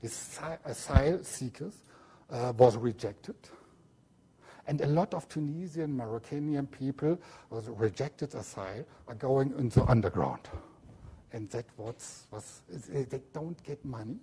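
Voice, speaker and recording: German man, lecturer, non-native English, mellow and slightly raspy, hesitant delivery. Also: mid-range voice, animated. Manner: halting non-native English, checks in with "yeah?". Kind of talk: academic lecture with slides.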